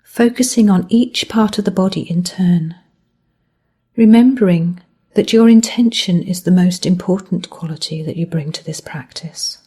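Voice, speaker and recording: A woman guiding a body scan meditation as a mindfulness teacher, speaking gently in measured phrases that end softly. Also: lowish voice, breathy edge.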